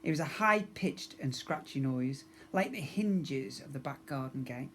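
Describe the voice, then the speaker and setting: A man's voice: mid-range voice, expressive, measured pace, a bit bright, a little hiss or hum. A man narrating a children's story expressively, as a teacher reading to young pupils.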